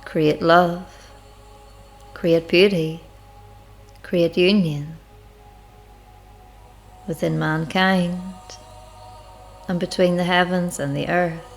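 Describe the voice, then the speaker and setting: Slow, low-voiced woman leading meditation. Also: fairly even intonation. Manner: unhurried, reflective, measured with long pauses. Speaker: guided meditation leader. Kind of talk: slow spiritual guided meditation narration. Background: music.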